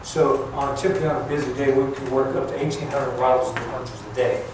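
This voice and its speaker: An older man, a former air traffic controller, gives an informal talk in a plain-spoken, halting, folksy way. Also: mid-range voice, fairly even intonation. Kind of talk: informal public talk recounting career. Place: large echoey museum room. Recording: echoey hall, distant mic, clear background noise.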